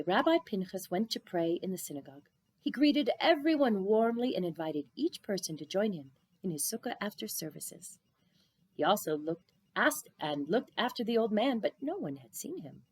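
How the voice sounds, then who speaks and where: A woman reading a children's story aloud in an expressive narrating style. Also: medium pitch, normal speed, breathy edge, no echo. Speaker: storybook reader.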